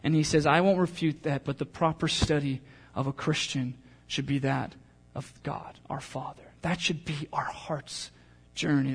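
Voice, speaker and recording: A man preaching a sermon as pastor, earnest, building to emphatic peaks and then dropping to quiet, intimate lines. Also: a little high, husky.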